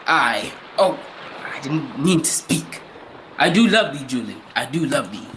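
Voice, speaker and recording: A man's voice: mid-range voice, animated, unhurried, fairly bright tone. Young man acting an anguished, melodramatic doomed sea captain. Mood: anguished, tender. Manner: solemn, archaic, melodramatic declamation. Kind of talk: recreated old-time radio drama acting. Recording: clearly noisy amateur stage recording.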